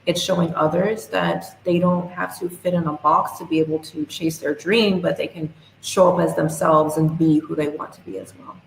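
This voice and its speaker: A young woman speaking as a guest in a podcast interview, earnest and measured. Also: low voice, creaky. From United States. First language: English. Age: young adult. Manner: earnest and measured, speaks in steady reflective phrases. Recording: echoey room with faint noise.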